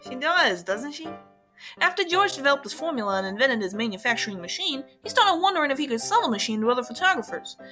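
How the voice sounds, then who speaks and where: A woman's voice: high-pitched, big pitch swings, fast, faint music behind, exaggerated voice. A woman playing a genial, modest male inventor who talks proudly and a little hesitantly in a radio drama. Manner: hesitant, thoughtful, quietly proud. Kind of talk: scripted radio drama acting.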